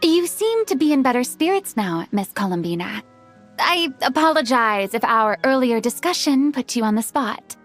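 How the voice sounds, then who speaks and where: A polite, composed film crew woman in a video game, high-pitched with a lively, expressive melody. She speaks courteously and in measured phrases. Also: faint music behind.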